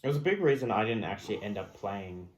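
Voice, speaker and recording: A man with a slightly low voice talks in an echoey room. He is a podcast co-host in casual discussion, laying out his opinions in everyday conversational speech. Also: big pitch swings, fast. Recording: echoey, roomy sound.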